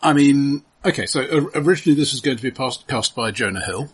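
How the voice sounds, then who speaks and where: A man co-hosting a casual film discussion, halting and repeating words as he builds his point. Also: mid-range voice, lively intonation, husky. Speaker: film podcast co-host.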